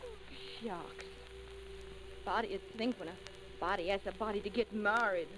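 A woman's voice: mid-range voice, normal speed, very noisy, close to an everyday voice. An actress playing a sweet but sassy young backwoods girl with a rural Southern US accent, in old radio drama style. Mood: wistful, exasperated. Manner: animated, folksy backwoods dialect.

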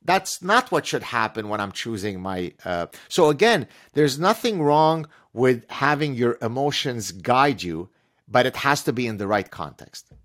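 Emphatic man with Arabic as his first language, a podcast guest who talks with animated sarcasm at an ordinary pitch for a man. He lays on his points with heavy, pointed emphasis. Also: measured pace. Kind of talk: podcast conversation.

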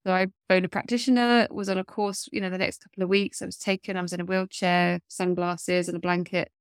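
Gravelly, stuffy-nosed woman. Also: lowish voice, flat delivery, a little fast, no echo, studio quality. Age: 40s. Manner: earnest, chatty, many fillers and restarts. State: stuffy, blocked-up nose. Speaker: guest, coach. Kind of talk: casual interview chat.

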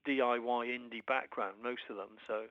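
A man from Wales, a musician guest in a casual interview, talking haltingly with many restarts and fillers. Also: medium pitch, steady tone, no room sound, highs cut off.

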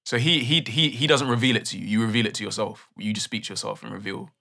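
Fast, bright-voiced young man. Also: fairly low pitch, vocal fry. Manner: probing questioner, repeats words while thinking. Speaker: podcast host. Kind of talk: casual podcast chat.

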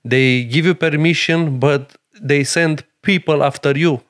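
A middle-aged man from Romania with a Romanian accent, a chef and restaurant owner speaking earnest, halting English in a podcast interview. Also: a little high, steady tone, fairly bright tone. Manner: broken English, earnest and emphatic, many pauses.

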